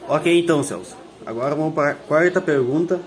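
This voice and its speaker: Student interviewer, male, higher-pitched, brisk and direct with his questions. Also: slight background noise.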